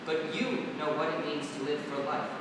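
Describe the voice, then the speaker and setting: A man's voice: fairly high pitch, moderate pace, close to an everyday voice. A man playing a proud, wounded dream-spirit lover in a verse stage play, declaiming with sardonic grandeur. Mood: earnest. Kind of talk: staged reading of verse drama. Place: theatre or hall staged reading. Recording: very echoey hall, distant mic, noisy.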